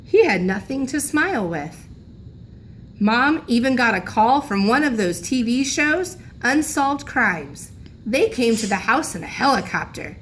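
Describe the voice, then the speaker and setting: A woman's voice: a little high, sing-song, a little slow, fairly bright tone, a little hiss or hum. A woman reading a children's storybook aloud like a teacher, expressively, lingering on key phrases for emphasis.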